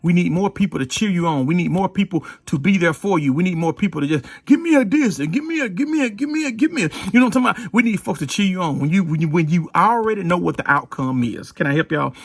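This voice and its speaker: A male pastor delivers an informal, exhortative sermon. His voice sits fairly high and has a husky grain. He speaks in a folksy way, repeating phrases for emphasis, and the sound is close and dry with little room in it. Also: expressive, quick pace, few pauses, no echo.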